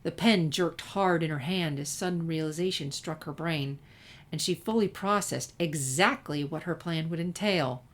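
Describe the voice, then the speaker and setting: A woman reading a scripted story aloud in expressive narration. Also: low voice, normal speed. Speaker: fan fiction reader.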